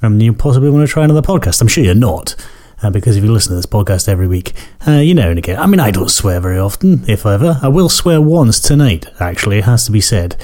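A man in his forties with a croaky voice, hosting a podcast in a rambling, self-deprecating way with many fillers. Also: on the low side, sing-song, fast talker, warm tone. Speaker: podcast host. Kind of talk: casual spoken intro.

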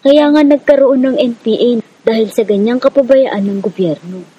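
Actress as husky, caring Filipino guerrilla medic. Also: female voice, fairly high pitch, normal speed, dark tone. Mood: reassuring. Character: caring, reassuring female guerrilla village medic. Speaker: rebel medic character. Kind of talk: radio drama dialogue. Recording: slightly dull lo-fi audio.

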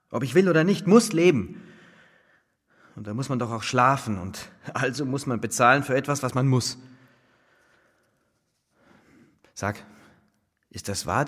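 A man's voice: medium pitch, fairly bright tone. A man acting a penniless, dreamy lodger in a German radio play, theatrical and philosophizing with bitter irony. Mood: brooding, intense. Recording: long hollow reverb.

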